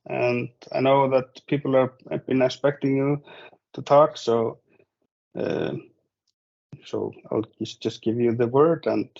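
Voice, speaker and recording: A man with an Icelandic accent, a native Icelandic speaker, informally hosting an online meetup and pausing hesitantly as he talks. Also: mid-range voice, vocal fry, a little mellow. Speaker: host introducing a speaker. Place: online video meeting. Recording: muffled online call audio.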